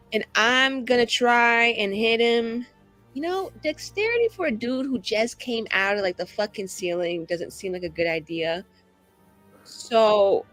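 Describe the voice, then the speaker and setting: A woman's voice: fairly high pitch, a bit bright. Chatty woman player, casual cursing. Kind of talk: casual game talk.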